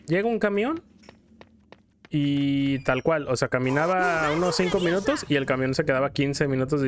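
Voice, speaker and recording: A man's voice: mid-range voice, slight background noise. A man with a Mexican accent telling a story in casual, colloquial style while commenting as a gameplay host. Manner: colloquial, acts out quotes in passing.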